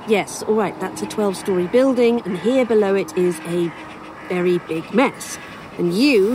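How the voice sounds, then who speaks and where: A weary woman, voiced by an actress, talks in an audio drama with dry, defiant sarcasm. Her delivery is hesitant at times and her voice is mid-pitched. Faint outdoor ambience and cawing crows sit behind her. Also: dark tone, a little hiss or hum, lightly acted, low-quality recording. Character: weary, sardonic human woman, dryly defiant. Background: outdoor ambience, crows, vehicles.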